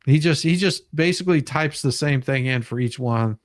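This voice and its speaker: A man's voice: medium pitch, moderate pace, no room sound, clean studio sound. A man hosting a casual live stream, explaining legal paperwork in an emphatic, sweary way. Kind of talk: casual commentary on a legal document.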